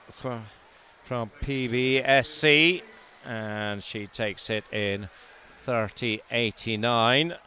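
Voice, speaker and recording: A man's voice: mid-range voice, slow, a little hiss or hum. Man calling a swimming meet. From not from Wales. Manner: calm, lists lanes and times, lifts his voice during close finishes. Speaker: swimming commentator. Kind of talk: live sports commentary.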